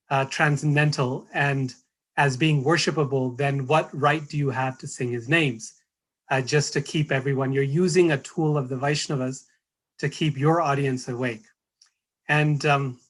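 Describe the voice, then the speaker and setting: A hoarse middle-aged American man giving a spiritual talk, hesitant, with many fillers and restarts. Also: fairly high pitch, a bit bright, low-quality recording. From United States. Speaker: guest speaker.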